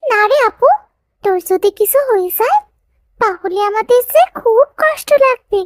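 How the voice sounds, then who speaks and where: A very high, lively girl's voice, acted by a woman, playing a caring young sister in a cartoon. She is anxious and pleading, with big swings of pitch. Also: moderate pace, no echo, studio quality. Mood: worried, pleading. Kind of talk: cartoon story dialogue.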